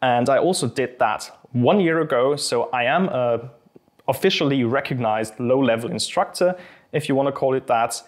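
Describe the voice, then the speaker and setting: A man's voice: medium pitch, moderate pace. A young adult man from Austria, a native Austrian German speaker whose English carries a British accent, hosting a casual question-and-answer video in a friendly, thoughtful and slightly hesitant manner. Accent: Austrian German mixed with British English. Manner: friendly, thoughtful, a little hesitant with frequent ums. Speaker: channel host and fencing instructor. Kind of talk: casual Q&A to camera.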